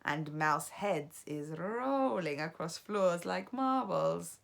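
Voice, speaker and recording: A woman voicing a villainous old witch leader who shouts and chants a gloating song, in a children's book read aloud. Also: on the low side, lively intonation, takes it slowly, creaky, exaggerated voice. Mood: shouting.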